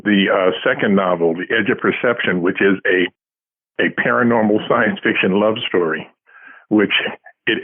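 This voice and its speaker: An older man answering interview questions casually as a guest author, over a tinny phone-like line. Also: low voice.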